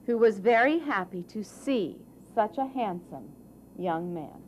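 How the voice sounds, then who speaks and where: A woman storyteller giving an expressive, deliberate scripted narration of a children's fairy tale. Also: mid-range voice, slight background noise, rough recording.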